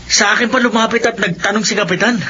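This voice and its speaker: A man's voice: high-pitched, fairly even intonation, fast, sharp tone. A man playing an uneasy aswang woodcutter in a Filipino horror radio drama, speaking in a colloquial way. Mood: wary, probing. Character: uneasy male aswang woodcutter, loyal drinking companion. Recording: dull lo-fi radio with noise.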